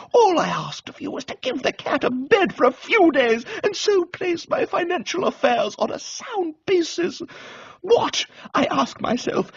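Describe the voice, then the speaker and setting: Imperious older English aunt played by a male reader: posh, high-pitched, exclamatory and scolding, comic radio storytelling, slightly muffled copy. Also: a bit bright, dry recording, full character voice. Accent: upper-class English. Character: imperious, melodramatic older upper-class English aunt, woman. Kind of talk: dramatised comic audiobook reading for radio.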